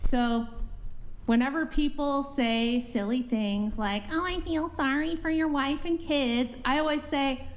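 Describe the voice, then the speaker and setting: A woman joining a live show as the host's wife, answering questions in a calm, matter-of-fact way. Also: sits high, unhurried, low-quality recording. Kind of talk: live chat.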